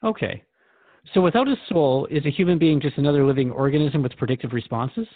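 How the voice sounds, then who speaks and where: A man's voice: a little high, quick pace, no echo, phone-quality sound. A man playing a curious, mildly skeptical radio interviewer in a scripted drama, thoughtful and conversational. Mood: curious, concerned. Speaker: radio host.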